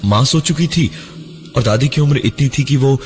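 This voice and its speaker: A man narrating a horror story in the first person, dramatically, with suspenseful, deliberate pauses. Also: medium pitch. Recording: music behind the voice, 3D voice effects. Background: prominent music.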